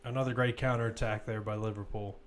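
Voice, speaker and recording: A man's voice: lowish voice, flat delivery, quick pace, slight background noise. A man commentating live on a football match, mixing play-by-play calls with informal, conversational analysis.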